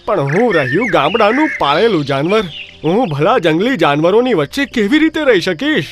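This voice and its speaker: High-pitched naive cartoon donkey. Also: male voice, sing-song, normal speed, fairly bright tone, soft background music. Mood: doubtful. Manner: naive, hesitant. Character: gullible, timid village donkey. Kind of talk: children's animated story dubbing.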